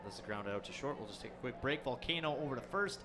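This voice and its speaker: A man's voice: mid-range voice, expressive, background noise. A man doing baseball color commentary, upbeat and teasing, asking a player about his summer. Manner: upbeat, teasing, quick affirmations.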